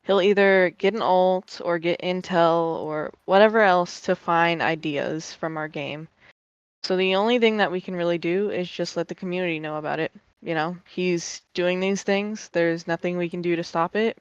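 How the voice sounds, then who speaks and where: A speaker with a smooth, clear voice lays out their plans in a measured, deliberate manner. The call audio is dry and dull, with a lo-fi tone. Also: mid-range voice, steady tone, no room sound. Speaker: game community owner. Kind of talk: casual interview answer.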